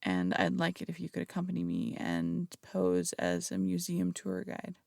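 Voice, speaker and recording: A woman's voice: fairly low pitch, big pitch swings, normal speed, gravelly, a little mellow, dry recording, clean studio sound, full character voice. A woman playing a flirtatious, theatrical female phantom thief in an intimate audio roleplay, coaxing and teasing, with slight stammers. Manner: coaxing, teasing, hesitant stammers between polished phrases. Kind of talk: intimate audio roleplay, acted monologue to listener.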